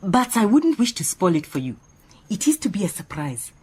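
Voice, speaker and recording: Animated, opinionated woman assistant. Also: mid-range voice, normal speed, breathy edge. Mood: coy, chatty. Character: earnest, opinionated female detective's assistant, proud and chatty. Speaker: actor in radio drama. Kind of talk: radio drama acting.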